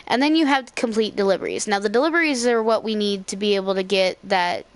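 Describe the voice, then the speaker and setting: A woman's voice: mid-range voice, quick pace, clear, resonant tone, no echo. Young woman, slightly scratchy voice. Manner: rambling, with frequent fillers and self-corrections. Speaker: let's play host. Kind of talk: casual gameplay commentary.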